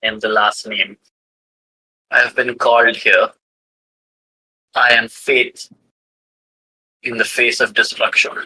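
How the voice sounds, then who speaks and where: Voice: medium pitch, little pitch movement, slow pace, long pauses, pushed and bright, breathy edge, heavily acted, low-quality recording. A performer voicing a solemn, ancient spirit of fate in a possessed body, making grand, portentous declarations. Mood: solemn, ominous.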